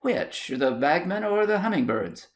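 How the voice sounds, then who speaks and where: A man's voice: high voice, sing-song, measured pace, voice pushed up. A man voicing a placid, easygoing Victorian husband in a storybook, mild, polite and unruffled.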